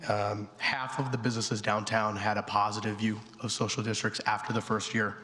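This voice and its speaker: A man with a hoarse voice from a cold, a town planning director presenting to a board with many ums and restarts. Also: fairly low pitch, level tone, brisk, bright, forceful tone. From North Carolina. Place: town council chamber at a podium. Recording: long reverb in a council chamber.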